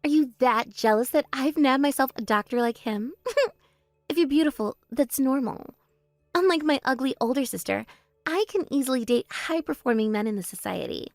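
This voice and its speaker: A bratty younger sister who brags and sneers, her high voice leaping all over the place, as a woman acts her out. The recording is dry and close, with no room sound. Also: expressive, moderate pace, no echo. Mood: smug. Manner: mocking, spiteful bragging. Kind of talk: voice drama, one performer.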